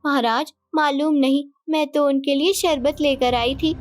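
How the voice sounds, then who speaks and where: Sweet, deferential younger queen for a cartoon fable woman voicing her, high-pitched, faint music behind. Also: fairly even intonation, unhurried. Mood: flustered. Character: sweet-talking, falsely innocent young second queen. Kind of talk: cartoon folktale acting.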